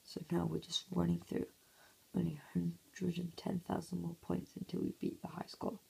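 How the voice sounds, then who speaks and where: A man with a hoarse, croaky voice, half-whispering casual commentary over a mobile game at home early in the morning, recorded on a phone. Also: high-pitched, monotone, normal speed, warm tone, low-quality recording. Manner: half-whispering to avoid waking sleepers. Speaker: gameplay commentator.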